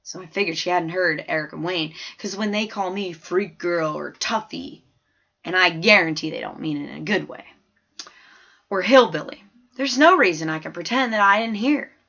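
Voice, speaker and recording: A woman's voice: fairly low pitch, normal speed, sharp tone. A woman voicing a plain-spoken, observant fourth-grade girl who tells her own story in a chatty, folksy, emphatic way. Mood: wondering, earnest. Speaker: audiobook narrator. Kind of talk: scripted first-person story narration. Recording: slightly dull, lo-fi audio.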